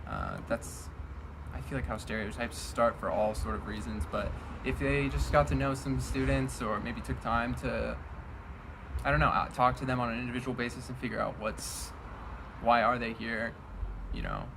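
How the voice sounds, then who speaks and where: A man in his twenties talks through his experiences in an easy, reflective way. His pitch sits in an ordinary middle range. Clear background noise runs under the recording. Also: normal speed. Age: 20s. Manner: thoughtful, loose, with "like" and "you know". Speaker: interviewee. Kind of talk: casual reflective talk.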